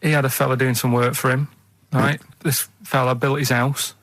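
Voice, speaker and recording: A man chatting casually on radio, telling stories in a halting, colloquial, rambling way. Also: mid-range voice, little pitch movement, fairly bright tone, no echo. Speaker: radio show co-host. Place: radio studio.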